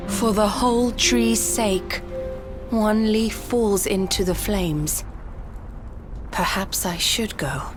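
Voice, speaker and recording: A woman voicing a gentle, resigned village mother in a video game, speaking in a measured, formal, poetic way. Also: mid-range voice, steady tone, lightly acted. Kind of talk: video game cutscene voice acting. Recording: loud music behind the voice.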